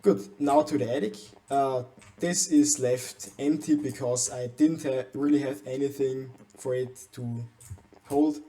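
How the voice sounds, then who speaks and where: Young man, Austrian German accent, German native speaker, mellow dark voice, halting, restarts often, gaming voiceover. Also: mid-range voice, fairly even intonation. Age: young adult. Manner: hesitant, frequent restarts and stumbles. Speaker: gaming channel host. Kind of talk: game base tour voiceover.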